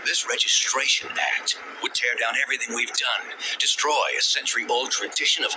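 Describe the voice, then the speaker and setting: A man's voice: medium pitch, steady tone, normal speed, noisy background, natural voice. A man playing an earnest, principled soldier superhero in a video game, speaking firmly. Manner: earnest, firm. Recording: music behind.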